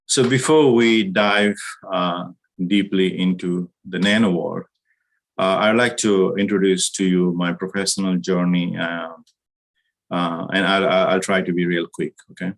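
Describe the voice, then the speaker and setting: A middle-aged man from Nepal giving a university lecture over a video call, talking in a measured way with many hesitations. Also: low voice, steady tone. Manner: measured, hesitant with frequent uh fillers. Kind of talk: online lecture over slides.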